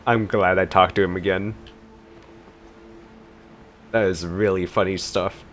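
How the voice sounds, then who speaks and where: A man's voice: low-pitched, steady tone, measured pace, faint music behind. A man giving casual gameplay reaction commentary, conversational and a little hesitant in his delivery. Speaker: gaming video host.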